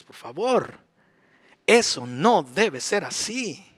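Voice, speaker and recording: A man's voice: high voice, a bit bright. A middle-aged male pastor preaching a sermon in a church, emphatic, with rhetorical questions. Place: church sanctuary.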